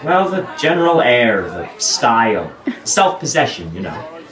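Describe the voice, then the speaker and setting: A man playing a charming, idle young gentleman in a period audio drama, teasing and courtly in formal phrases. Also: medium pitch, very animated, a little slow, echoey room, soft background music. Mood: languid, admiring.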